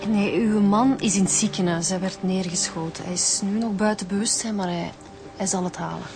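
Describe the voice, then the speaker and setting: An actress with a Flemish accent playing a businesslike, matter-of-fact police detective in a radio drama. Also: female voice, medium pitch, steady tone, brisk, over music, close to an everyday voice. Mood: gentle. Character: level-headed, businesslike female police detective.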